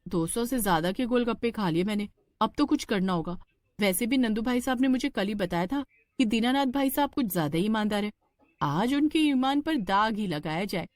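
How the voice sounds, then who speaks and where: A woman's voice: fairly high pitch, animated, normal speed, no echo, clean studio sound, natural voice. A woman voicing a scheming, demanding village woman with a dramatic, indignant manner in a cartoon story. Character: scheming, shrill village woman falsely accusing a snack seller.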